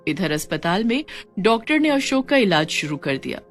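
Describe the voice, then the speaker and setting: A woman's voice: mid-range voice, big pitch swings, moderate pace, faint music behind. A woman reading scripted narration for a moral story.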